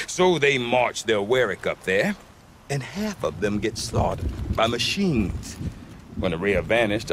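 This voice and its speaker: Deep-voiced, cynical trader. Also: male voice, fairly bright tone, a little hiss or hum, full character voice. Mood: scornful, sardonic. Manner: wry, dismissive, drawling asides with hesitant hums. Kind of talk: conversational video game dialogue.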